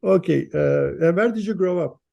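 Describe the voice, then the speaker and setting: A male interviewer with a slightly higher-pitched voice that carries a gravelly edge. He speaks as a host, moving between prepared introduction and questions in a mellow, relaxed tone. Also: moderate pace, dull audio.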